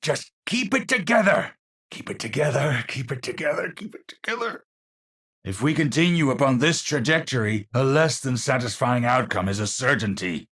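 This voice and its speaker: An actor playing an eccentric, unstable scientist in a video game, with a Dutch accent, husky voice and theatrical, formal scientific phrasing. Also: male voice, mid-range voice, animated, moderate pace, fairly bright tone. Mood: desperate, unravelling, then measured, scholarly. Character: eccentric, unstable male scientist, lofty and absent-minded. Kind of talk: video game character voice lines.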